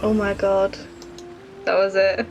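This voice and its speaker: A woman's voice: mid-range voice, completely flat delivery, takes it slowly, muffled recording, over music. A woman, reacting casually as she streams a game.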